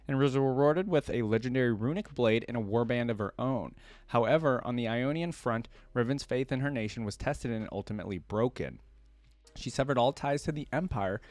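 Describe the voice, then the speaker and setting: A man with a mid-pitched voice speaks as the host of a reaction channel. His delivery is that of casual commentary mixed with read-aloud passages. The sound is dry, with very little room around it. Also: no room sound.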